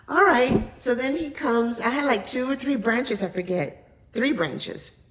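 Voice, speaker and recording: A woman's voice: fairly high pitch, animated, slow, fairly bright tone, low-quality recording. A woman guest on a podcast casually telling an anecdote, voicing quoted exchanges in a conversational way.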